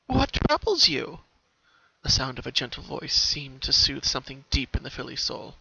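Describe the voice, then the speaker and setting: A man's voice: medium pitch, completely flat delivery, a little fast, creaky, sharp tone, slight background noise. A man reading a story aloud as narrator, in a dramatic style. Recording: dull, muffled home recording.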